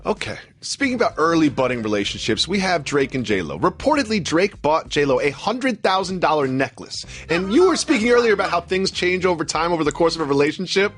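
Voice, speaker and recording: An American man co-hosts sports talk with playful banter. His husky voice runs fairly high and swings widely as he throws out rhetorical questions. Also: big pitch swings, brisk, hardly any pauses, a bit bright, soft background music. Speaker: sports talk show co-host.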